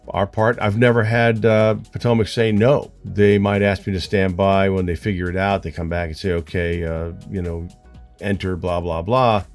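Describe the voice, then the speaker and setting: A man's voice: low-pitched, a little mellow. An American man, a flight instructor, talking to viewers in an earnest, conversational storytelling way. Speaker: flight instructor hosting an aviation channel.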